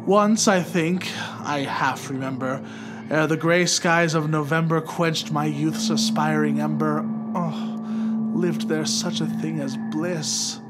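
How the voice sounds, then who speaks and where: A man gives a dramatic, solemn reading of verse at an unhurried pace. His voice sits a little high for a man, and his delivery is measured and theatrical. Music plays clearly behind him. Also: steady tone. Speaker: narrator. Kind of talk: dramatic poetry recitation. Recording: loud music under the voice.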